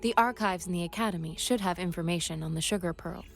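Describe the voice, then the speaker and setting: A woman's voice: lowish voice, quick pace, gravelly, faint music behind. A woman voicing a composed, precise researcher in a video game, speaking formally and methodically. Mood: composed, informative. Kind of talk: video game character dialogue.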